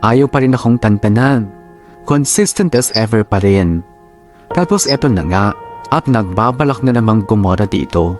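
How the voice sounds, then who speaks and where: A man's voice: lowish voice, expressive, moderate pace, a little mellow, soft background music. A man narrating a first-person love story in a chatty, slangy storytelling manner.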